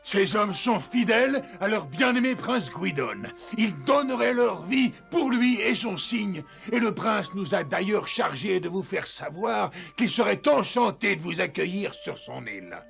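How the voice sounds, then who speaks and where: Formal, devoted soldier-herald, very gravelly, declaiming to royalty in an animated fairy tale over music. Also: male voice, high voice, a little slow, hardly any pauses, exaggerated voice. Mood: awed reporting. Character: solemn loyal warrior and herald addressing royalty. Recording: music behind the voice.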